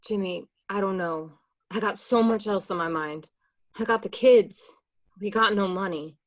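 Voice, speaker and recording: A mid-pitched female voice of an actress plays a mobster's wife and mother. She is distrustful and frightened, speaking with emotional insistence in radio-play dialogue. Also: measured pace, close to an everyday voice. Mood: worried. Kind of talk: amateur online radio play acting.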